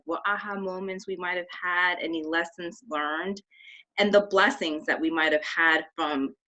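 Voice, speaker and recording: Bright-voiced woman hosting a podcast. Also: on the low side, poor audio. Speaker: podcast co-host. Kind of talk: casual chat.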